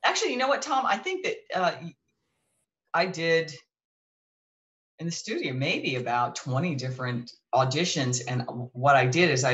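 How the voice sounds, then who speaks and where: A woman hosting an interview show, with a deep, dark voice, talking in a chatty way full of restarts and self-corrections, seated on a couch at home in front of a webcam. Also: low-quality recording. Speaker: show host. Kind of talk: casual interview talk. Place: living room, indoors.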